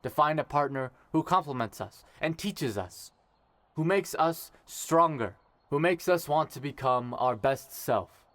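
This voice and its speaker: A man playing a dignified, sincere young emperor in an audio drama, speaking in a measured, formal way. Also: on the high side, expressive, fairly bright tone, no room sound. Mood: solemn, sincere. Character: warm, dignified young emperor officiating a wedding.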